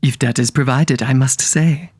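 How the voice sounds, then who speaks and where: A man's voice: mid-range voice, normal speed, a little mellow, slightly rough, no echo, close to an everyday voice. A man voicing a dignified, poetic zebra with formal, sing-song phrasing in an audio drama. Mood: solemn.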